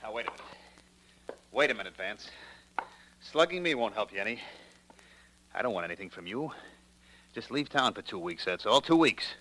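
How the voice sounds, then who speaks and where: A smug blackmailer from a vintage radio crime play, American, with a lowish, hard-edged voice. He talks in taunting, hard-boiled slang. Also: lively intonation, normal speed, pauses often, sharp tone, slight background noise, rough recording. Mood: threatening. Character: smug male racketeering print-shop owner, a blackmailer. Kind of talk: mid-century American radio detective drama acting.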